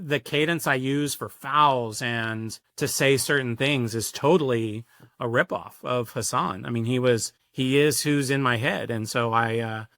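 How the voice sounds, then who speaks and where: A man's voice: medium pitch, fairly bright tone, no echo, studio quality. A middle-aged American man, a sports announcer, telling stories in a casual, reflective interview with hesitant, conversational phrasing. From Sacramento, California, USA. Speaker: sports public address announcer, podcast guest.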